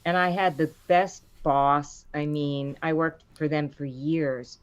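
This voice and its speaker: A middle-aged woman with a low voice, a voice actor chatting in an interview and acting out the quotes in her stories. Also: takes it slowly, clear, resonant tone. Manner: animated storyteller, acts out quotes with rising pitch. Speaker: voice actor guest. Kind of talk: casual interview chat.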